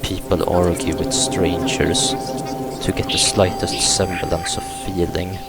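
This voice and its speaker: A man reading the text of a visual novel aloud in a measured, halting way, with pauses between phrases. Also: low voice, little pitch movement. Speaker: gaming video host reading aloud. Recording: loud music behind the voice. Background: loud game music.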